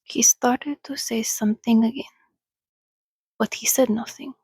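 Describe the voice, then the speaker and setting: Woman doing soft asmr story reading, super breathy n whispery, real slow n soothing. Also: on the high side, steady tone, no echo. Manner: soothing, unhurried. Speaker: reader.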